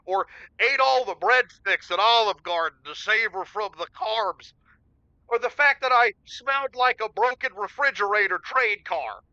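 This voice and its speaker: A man's voice: high voice, pushed and bright, clear, resonant tone, dry recording, highs cut off, exaggerated voice. A man playing a pompous, aggrieved self-styled alpha male who rants and lectures as a caller on a comedy radio show. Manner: self-important, ranting, lecturing. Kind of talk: comedic radio call-in acting.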